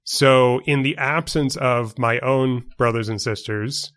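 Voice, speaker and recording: A man's voice: mid-range voice, steady tone, fairly bright tone. A young man from New York City co-hosting a podcast, choosing his words carefully, with many fillers and restarts. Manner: measured, precise wording with many fillers and restarts. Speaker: podcast co-host. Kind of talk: casual chat.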